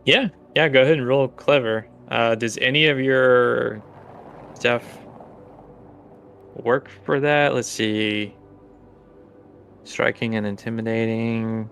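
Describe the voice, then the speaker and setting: A man's voice: mid-range voice, slow, gravelly, long pauses, a bit bright, a little hiss or hum. A man running a tabletop game, improvising narration and rulings in a hesitant, think-aloud way. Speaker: game master.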